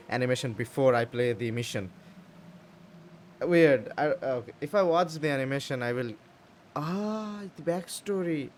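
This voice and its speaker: Guy casually reacting to a game, medium voice, unsure, repeats himself, bit of background noise. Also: mid-range voice. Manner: hesitant, thinking aloud, repeats himself. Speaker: gaming streamer. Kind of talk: casual gameplay reaction chat.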